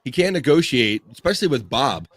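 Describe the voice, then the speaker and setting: A man with an average-pitched voice chats as a panelist on a podcast. He chips in casually with plugs and shout-outs. The sound is close and dry. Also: big pitch swings, a little fast, no room sound. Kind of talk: casual chat, plugging shows.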